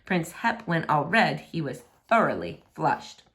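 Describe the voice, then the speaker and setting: A woman narrating a rhyming children's story aloud in an expressive style. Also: low voice, a little slow.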